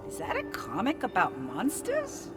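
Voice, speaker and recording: Casting: kindly elderly townswoman for game drama, fussy, chatty, very animated intonation. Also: medium pitch, a little slow, loud music behind the voice. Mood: genial. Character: kindly, doddering old small-town woman.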